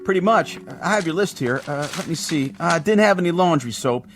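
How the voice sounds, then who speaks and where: An actor playing a frightened small-town grocery man who stammers nervously and flatters eagerly in folksy speech, in an old television drama. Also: on the high side, brisk, slight background noise, natural voice. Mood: nervous chatty. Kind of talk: vintage television drama acting.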